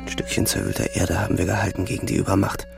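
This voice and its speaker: Very creaky, brooding young soldier. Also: male voice, low-pitched, little pitch movement, quick pace, music in the background. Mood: brooding, hushed. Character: brooding young soldier musing quietly on life at the front. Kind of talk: literary first-person radio drama narration.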